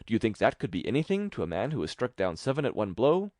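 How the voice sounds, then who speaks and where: A man's voice: medium pitch, big pitch swings, fast, darkish tone, no echo, clean studio sound, natural voice. A man voicing a boastful, merry little tailor in a fairy tale, with a bold, bragging manner.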